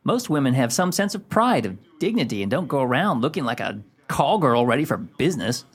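A man's voice: mid-range voice, sing-song, a little fast, slightly rough. An actor playing a surly, domineering man in a radio comedy, blunt and emphatic in his delivery. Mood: scornful, domineering. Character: surly, domineering man scolding the woman who adores him. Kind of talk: radio comedy play acting.

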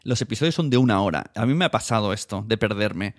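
A man hosting a podcast in casual interview chat, with somewhat halting phrasing. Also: on the low side, animated. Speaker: podcast host.

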